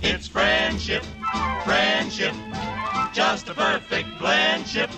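Actress as slow-singing woman over loud music. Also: close to an everyday voice, poor audio. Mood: playful. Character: woman singing a comic friendship duet. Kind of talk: 1940s radio comedy singing. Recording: old radio recording with loud music.

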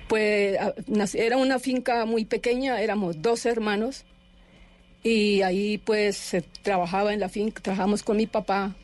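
A middle-aged woman from Colombia, telling her life story in an interview, halting and restarting often, sitting back from the microphone. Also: medium pitch, fairly even intonation, darkish tone. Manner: halting, with many restarts and fillers. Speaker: interview guest. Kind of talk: personal testimony in an interview. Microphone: sitting too far from the microphone.

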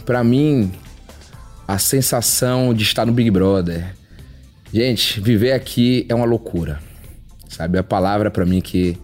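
A man's voice: on the low side, a little mellow. A man in his thirties from Salvador, talking in a casual podcast monologue, open and conversational, with frequent tag questions. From Brazil, Salvador. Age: 30s. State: a bit nervous. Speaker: reality show contestant.

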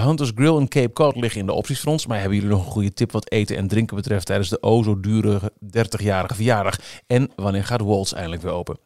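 A man with an ordinary mid-range voice co-hosts a podcast. He talks in a casual, enthusiastic way. The sound is close and dry, without room echo. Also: medium pitch. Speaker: podcast co-host.

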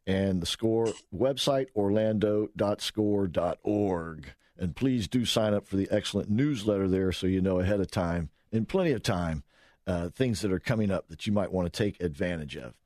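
A man's voice: deep voice, normal speed, mellow, no room sound, studio quality. A man hosting an interview show, easygoing and conversational as he brings on a guest. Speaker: radio show host.

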